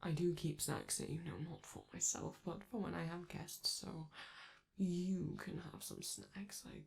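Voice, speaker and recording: Woman voicing a slow, flamboyant robot diva. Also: fairly low pitch, huge pitch swings, full character voice. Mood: excited, playful. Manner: theatrical, breathy asides, teasing endearments, drawn-out words. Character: flamboyant, vain, theatrical robot star doting on a guest. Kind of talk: scripted ASMR roleplay.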